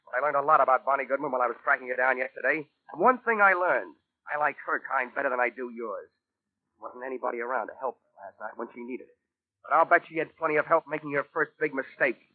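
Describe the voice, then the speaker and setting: A man's voice: mid-range voice, fast, dry recording, natural voice. An American actor playing a wisecracking, tough male insurance investigator in a 1940s radio drama, with wry, slangy, clipped talk. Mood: hard, accusing. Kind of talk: 1940s radio detective acting, first-person narration. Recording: muffled vintage radio recording with music.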